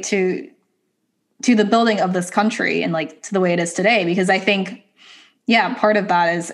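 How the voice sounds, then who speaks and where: Thoughtful woman, measured speech. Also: lowish voice. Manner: thoughtful, measured, with hesitant fillers. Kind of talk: casual conversation.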